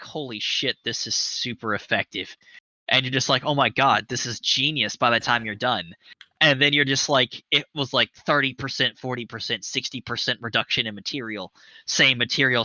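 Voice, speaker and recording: A man's voice: mid-range voice, pushed and bright, no room sound. A man in his thirties hosting a livestream chat, talking eagerly and at length, with fillers and swearing for emphasis. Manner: enthusiastic, rambling, swears for emphasis. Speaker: show host. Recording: dry, slightly dull webcast audio.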